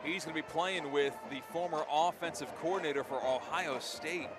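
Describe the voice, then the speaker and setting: A man doing energetic live radio play-by-play commentary of a football game. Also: a little high, normal speed, over music. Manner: energetic, vivid play-by-play delivery. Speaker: play-by-play sports announcer.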